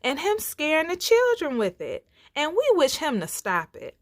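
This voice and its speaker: Timid little woodland critters female storyteller, raised voice, pleading, folksy, very animated. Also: high-pitched, moderate pace, no echo, studio quality, voice pushed up. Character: frightened little forest animals, complaining and pleading.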